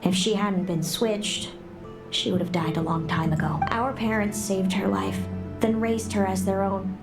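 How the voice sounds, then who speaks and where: A woman's voice: medium pitch, fairly even intonation, measured pace, natural voice. An actress voicing a resentful, fate-weary bird-woman seer in a video game, sardonic and sighing. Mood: matter-of-fact, curt. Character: bitter, resentful older sister, fey bird-woman seer. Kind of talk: scripted video game voice acting. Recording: background music under the voice.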